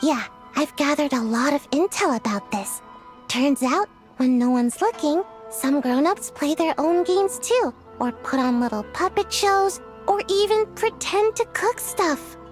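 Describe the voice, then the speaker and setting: A woman's voice: sits high, lively intonation, unhurried. A woman voicing a small, earnest, precocious child who likes to lecture, in a video game cutscene. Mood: earnest, lecturing. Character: small, earnest, know-it-all child friend. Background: background music.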